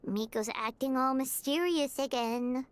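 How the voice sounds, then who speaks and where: A woman voicing a tiny, perky, curious childlike fairy companion in a video game, squeaky and exclamatory. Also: unhurried, a little hiss or hum. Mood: puzzled. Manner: speaks of herself in third person, squeaky and exclamatory.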